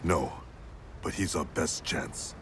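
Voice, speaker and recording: A man acting a stern, honor-bound ninja clan leader in a video game, solemn and formal in his deliberate phrasing. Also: extremely low pitch, background noise. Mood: commanding, resolute. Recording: loud cinematic music behind.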